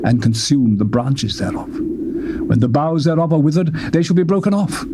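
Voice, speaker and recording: A man with a British accent gives a dramatic, theatrical scripture reading, declaiming with sweeping rises and falls in pitch. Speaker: Bible reader. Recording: noisy old tape recording.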